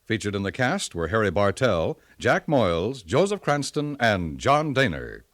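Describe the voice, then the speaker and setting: A man's voice: on the low side, very animated, normal speed, no room sound, clean studio sound. An American man announcing formally in a polished, scripted 1950s radio style. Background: music.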